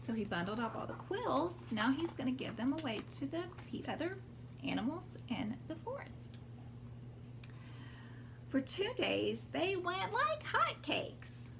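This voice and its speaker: A woman reading a storybook aloud expressively to young children, pausing to explain things simply. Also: high voice, big pitch swings. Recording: clear background noise.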